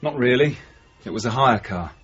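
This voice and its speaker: Husky, probing detective sergeant, muffled radio. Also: male voice, medium pitch, lively intonation, moderate pace, a bit bright, faint music behind. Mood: inquiring, dry. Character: probing, unhurried male police detective sergeant. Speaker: actor in a radio drama. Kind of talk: 1980s radio drama acting. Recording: dull, muffled radio broadcast recording.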